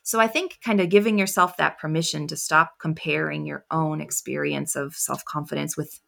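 A woman speaking as a coach in a podcast interview. Her voice is low and slightly gravelly, soft and quiet. She talks in a reflective, unhurried way, with long pauses between her thoughts. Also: fairly even intonation. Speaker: confidence coach, podcast guest.

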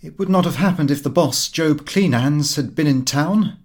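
A man voicing a brash, excitable young son, flippant and slangy, in an audiobook. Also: on the high side, moderate pace. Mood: apologetic. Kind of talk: audiobook character voice.

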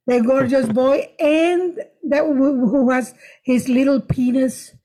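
A middle-aged woman, a comedian guest telling stories in animated, restarting bursts. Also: high voice, takes it slowly. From Nicaragua. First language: Spanish. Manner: animated storytelling, frequent restarts and laughs. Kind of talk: casual interview chat.

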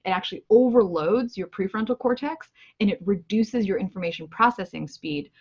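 A woman's voice: mid-range voice, brisk, husky. A woman hosting a casual conversation, playfully quizzing her guests. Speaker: podcast host. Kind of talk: casual interview chat.

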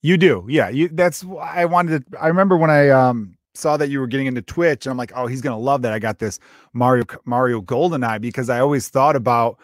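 A man's voice: mid-range voice, brisk, dry recording, clean studio sound. A man hosting a podcast interview, asking questions in a rambling, joking, self-deprecating way. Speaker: podcast host. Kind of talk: casual interview chat.

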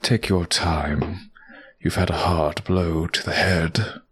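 A man playing a calm, sinister professor and cult leader in an audio drama, husky, deliberate and commanding. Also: deep voice, full character voice. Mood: calm menace.

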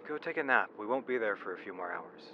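A man's voice: lowish voice, expressive, quick pace, highs cut off, a little hiss or hum, lightly acted. A man playing a caring, wry young man on a road trip in a naturalistic audio drama. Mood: wry, casual.

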